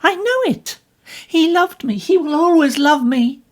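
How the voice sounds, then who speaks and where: A woman playing a bitter young woman, intense and husky. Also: mid-range voice, lively intonation, unhurried, darkish tone, pitched above the performer's own voice. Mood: impassioned. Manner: intense, pleading, emphatic.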